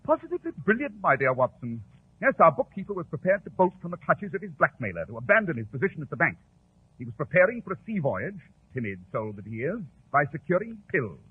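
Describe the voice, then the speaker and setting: Brisk, theatrical British detective. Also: male voice, fairly high pitch, very animated, slightly rough, full character voice. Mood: explaining keenly. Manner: clipped, precise, theatrical, self-assured. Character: brisk, confident, brilliant male London detective. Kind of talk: 1940s radio drama acting. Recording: muffled, tinny old 1940s radio recording.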